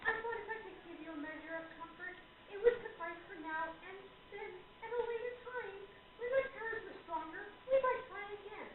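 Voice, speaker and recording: A woman's voice: very high voice, brisk, hardly any pauses. A woman playing a wily, indignant spirit medium in a radio play, with a dramatic, declamatory delivery. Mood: weary apology. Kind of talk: stage radio play acting. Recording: echoey, noisy, tinny, far from mic.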